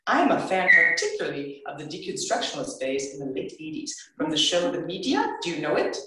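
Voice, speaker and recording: A man's voice: a little high, very animated, brisk, bright, forceful tone, far from the mic, a little hiss or hum. A woman voicing a cheerful, chatty male convenience store clerk in a live reading. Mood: excited. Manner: merry, repetitive, eager. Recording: echoey live venue.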